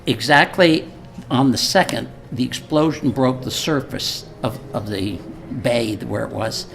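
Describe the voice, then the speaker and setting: Older male, mid-pitched, informal public speaker and halting reader, live recording with faint noise. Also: gravelly, a bit bright. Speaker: speaker at a memorial event. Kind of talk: informal speech with reading from a letter. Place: live event before an audience. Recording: live event recording with noticeable background noise.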